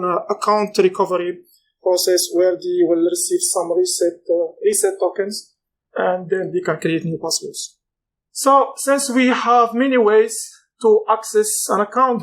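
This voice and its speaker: A man, giving a technical conference talk and pausing often to find his words. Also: high voice, rough recording. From Morocco. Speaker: conference speaker.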